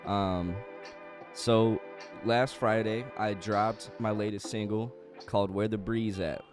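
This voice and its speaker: A man's voice: lowish voice, steady tone, takes it slowly. A young man, a music producer, talking casually with a congested, slightly nasal voice, rambling with many fillers and restarts. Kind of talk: casual studio walkthrough.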